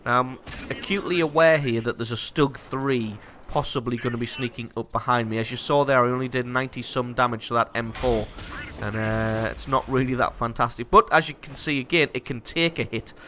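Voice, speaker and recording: A man with a bright, forceful voice and a stuffy, congested nose from a cold talks through his own match as host of a gaming channel. He explains his moves in a casual, self-deprecating way. A faint hiss sits under his voice. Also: mid-range voice, fairly quick. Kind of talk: gameplay commentary.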